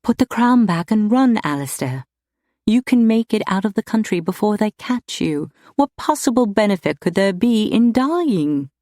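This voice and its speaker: Audiobook heroine woman narrator performing: passionate, stubborn young lady, raised pitch, very animated, emphatic delivery. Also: slow, dry recording, clean studio sound. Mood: angry, anguished. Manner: emphatic, heated, pleading. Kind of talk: audiobook character dialogue.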